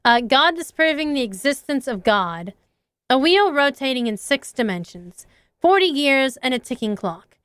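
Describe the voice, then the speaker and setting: A woman voicing a shy, intense teenage schoolgirl, soft and hesitant, reading game dialogue aloud. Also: high-pitched, sing-song, normal speed, clean tone, no echo.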